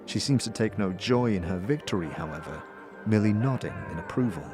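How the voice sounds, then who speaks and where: Low-voiced male audio drama narrator. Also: expressive, a little fast, over music. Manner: hesitant, with filler "uh"s in dialogue. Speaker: narrator and lead player.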